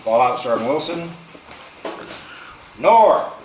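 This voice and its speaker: Gruff American drill instructor, echoey recording. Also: male voice, a little high, big pitch swings, slow, crowd noise. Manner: commanding, gruff, informal and salty. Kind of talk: roll call and team assignments. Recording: echoey space with faint background noise.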